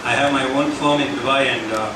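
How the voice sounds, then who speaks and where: A middle-aged man with an Indian English accent, giving a measured talk with many pauses to a forum. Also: mid-range voice, steady tone. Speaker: speaker. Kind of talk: public talk to a senior citizens' forum. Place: meeting hall. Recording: echoey hall, mic from far off, background noise.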